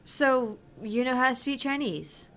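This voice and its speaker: A woman voicing a polite, thoughtful young bartender in a visual novel, earnest and measured as she explains things. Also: high voice, lively intonation, a crowd in the background. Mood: curious, polite. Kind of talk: visual novel read-aloud.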